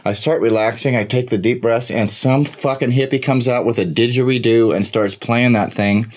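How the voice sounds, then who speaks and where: A man co-hosting a casual livestream, rambling excitedly, swearing often and joking at his own expense. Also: mid-range voice, moderate pace. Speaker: co-host.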